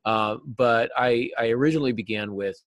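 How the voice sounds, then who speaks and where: A man's voice: mid-range voice, brisk, no room sound. A middle-aged American man talking casually and thoughtfully as a guest in an interview. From United States. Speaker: interview guest, novelist and teacher. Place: small cluttered office, indoors. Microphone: video call.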